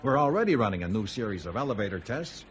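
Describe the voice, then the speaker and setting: An actor playing a smooth, insistent male company executive in a radio drama, courteous and deliberate in his phrasing. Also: fairly low pitch, very animated, faint music behind. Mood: persuasive.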